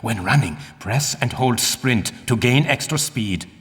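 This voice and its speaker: An actor playing a stern, condescending older archaeologist in a video game, giving formal, pompous instruction. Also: male voice, mid-range voice, expressive, a little slow, natural voice. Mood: grave, imposing. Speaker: mentor and guide. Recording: long cavernous reverb.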